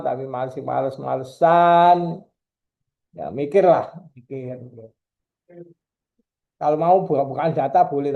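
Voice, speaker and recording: A man's voice: mid-range voice, vocal fry, muffled recording. A middle-aged male university lecturer, giving a chatty, digressive classroom lecture and teasing his students.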